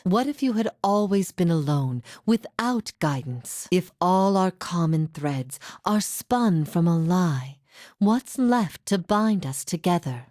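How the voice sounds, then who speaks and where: A woman playing a solemn, resolute heretic spirit in a video game, speaking in a grave, measured, contemplative way. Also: low voice, dark tone, no echo, studio quality. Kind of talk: dramatic video game dialogue.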